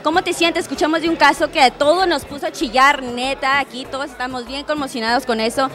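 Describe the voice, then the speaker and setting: A young woman interviewing a guest at a crowded event, energetic and slangy, on a handheld mic. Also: sits high, fast, soft background music. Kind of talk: excited casual interview. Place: event backdrop at a crowded venue.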